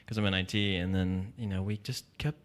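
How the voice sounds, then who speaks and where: A man's voice: deep voice, fairly even intonation, brisk. A man co-hosting a podcast, chatty and playful, jumping from one question to the next. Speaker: podcast co-host. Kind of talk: casual interview chat.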